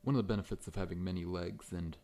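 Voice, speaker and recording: A man playing a large, friendly scorpion monster dentist in an ASMR roleplay, talking in a gentle, reassuring, professional way. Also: low voice, steady tone, very fast. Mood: polite, welcoming.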